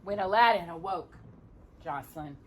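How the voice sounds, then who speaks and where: A woman reading a children's story aloud, expressively, with dramatic, measured phrasing and pauses. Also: lowish voice, breathy edge. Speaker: storytime reader.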